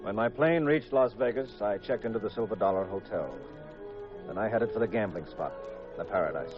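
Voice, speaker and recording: An actor playing a cool, self-assured private detective in a 1950s radio drama, composed and clipped on a vintage recording. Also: male voice, fairly low pitch, a little fast, lo-fi sound, over music, natural voice. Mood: wry, narrating. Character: cool, self-assured married male private detective, narrating his case.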